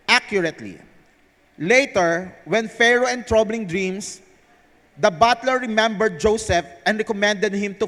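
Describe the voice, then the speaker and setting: A man's voice: sits high, a bit bright. A man with a Filipino accent speaking as the presenter of a live stage event. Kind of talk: scripted narration read aloud to a live audience. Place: large indoor theater hall. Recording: echoey hall over PA sound system.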